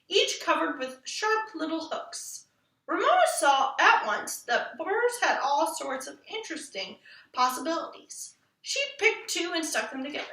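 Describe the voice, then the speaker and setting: A woman reading a children's book aloud in an expressive storytelling style. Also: high voice. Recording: roomy echo, distant from mic.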